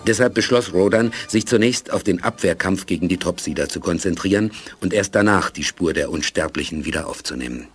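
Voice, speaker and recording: A man as the grave, measured narrator of a sci-fi radio play, reading scripted narration. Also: deep voice, husky. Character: grave, measured male storyteller of space saga. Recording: music behind the narration.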